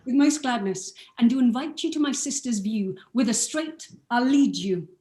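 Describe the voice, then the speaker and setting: A woman playing a proud, guarded male ruler in a radio play, speaking formal, measured verse. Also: fairly high pitch, animated, mellow, echoey room, close to an everyday voice. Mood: formal, conciliatory. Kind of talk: live radio play acting in Shakespearean verse.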